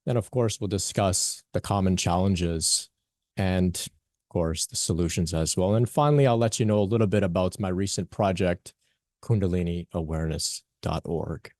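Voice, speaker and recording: A young man with a low voice gives an earnest conference talk as a spiritual teacher. He halts often, with fillers and restarts. The sound is dry and close. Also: normal speed, darkish tone, no echo. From born in Pakistan, raised in Canada. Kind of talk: conference talk, personal story.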